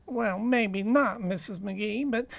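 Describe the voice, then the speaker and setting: A woman playing a timid, henpecked male bird lover who is secretly murderous, in a halting old-time radio comedy style. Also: mid-range voice, vocal fry, dry recording. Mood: meek, plotting.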